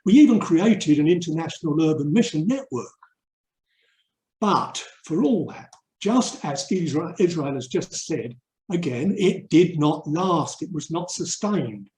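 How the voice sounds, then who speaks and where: Older english guy doing a thoughtful talk online, fairly high mellow voice, earnest, roomy echo. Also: expressive, measured pace. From England. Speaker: urban mission speaker and theologian. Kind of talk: reflective webinar talk. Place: indoor room, online webinar. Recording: roomy, echoey webinar audio.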